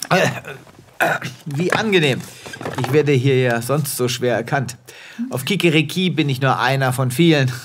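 An actor playing a self-important, earnest space veterinarian in a comic science-fiction radio play, with a pompous theatrical delivery. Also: male voice, mid-range voice, moderate pace, a little hiss or hum, lightly acted. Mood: jovial, vain.

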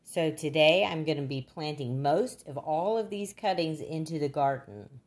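Older woman, low voice, folksy and plain-spoken, for casual home gardening how-to narration. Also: moderate pace, a little mellow. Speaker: gardening demonstrator.

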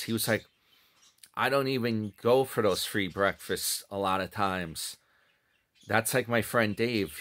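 Middle-aged male vlogger, lowish voice, chatty and wandering, close webcam sound. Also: fairly bright tone. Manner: rambling, self-correcting, chatty. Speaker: commentary vlogger. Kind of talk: casual commentary on another channel's videos. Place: small room at home. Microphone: webcam or phone close up.

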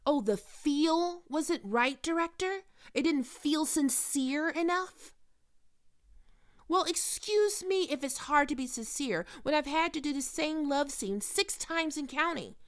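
A woman's voice: sits high, animated, measured pace. A woman playing a demanding, sardonic, flirty diva film star in an ASMR roleplay. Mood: exasperated. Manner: sardonic, swearing, teasing.

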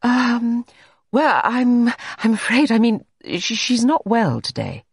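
A reader voicing an anxious, meek woman in an audiobook story, halting and apologetic as she tries to please. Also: medium pitch, big pitch swings, a little mellow, breathy edge, no room sound, clean studio sound, voice pushed up, exaggerated voice. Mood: flustered, reluctant.

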